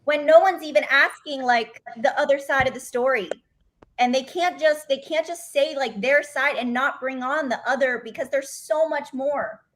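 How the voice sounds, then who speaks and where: A woman's voice: high-pitched, normal speed, fairly bright tone. A pregnant woman guest on a podcast, talking earnestly and emphatically about her own situation, with many restarts. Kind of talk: candid personal account on a call-in podcast.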